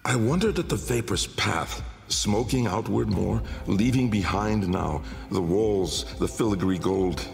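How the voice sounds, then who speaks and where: An actor playing an old, haunted, learned nobleman-scientist in a video game, delivering a grave, measured gothic monologue with a gravelly voice. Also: lowish voice, a little mellow. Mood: brooding awe. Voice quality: gravelly, creaky. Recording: long cavernous reverb under music.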